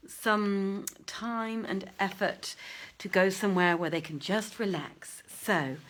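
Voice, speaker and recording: Lower-pitched woman storyteller addressing her audience. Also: a bit bright. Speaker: theatre director hosting a live reading. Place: live video stream.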